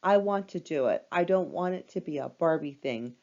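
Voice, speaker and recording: An earnest teenage girl, voiced by a woman narrator in a children's storybook. She is independent and insistent, with a lively, changeable melody to her speech. The sound is a little dull. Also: sits low, normal speed. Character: earnest, independent-minded younger teenage sister.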